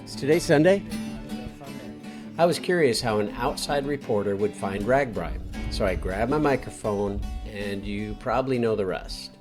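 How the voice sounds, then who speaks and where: A man's voice: lowish voice, animated, moderate pace, over music. A man hosting a podcast, doing casual roaming interviews with friendly, easygoing banter and holding a handheld mic. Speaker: podcast host. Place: outdoors at a bike ride event.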